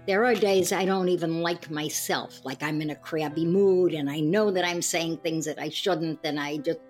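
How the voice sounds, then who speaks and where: Woman, eighties, low register, candid personal reminiscence, faint music underneath. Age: 80s. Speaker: discussion participant.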